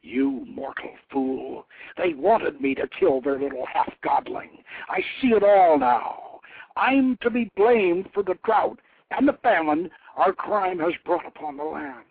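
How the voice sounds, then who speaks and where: A man's voice: fairly high pitch, animated, measured pace, vocal fry, breathy edge, voice pushed up, exaggerated voice. A man voicing a fierce, bitter warrior goddess in a haughty, brooding storybook reading. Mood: furious. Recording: tinny phone-like internet radio audio.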